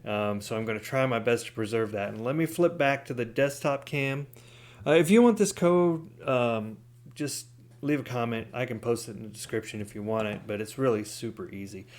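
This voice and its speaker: A man's voice: mid-range voice, moderate pace. A man with a mid-range voice hosts a hobby how-to. He speaks informally, as in a casual demonstration. He explains his work step by step. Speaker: DIY hobbyist video host. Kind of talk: casual hands-on tutorial talk.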